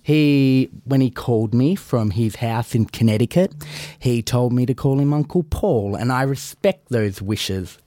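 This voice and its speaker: A man's voice: mid-range voice, measured pace, mellow, no echo, studio quality. A man on a podcast, boasting arrogantly as a wrestling persona. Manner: boastful, arrogant, self-aggrandizing. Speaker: wrestler guest in character.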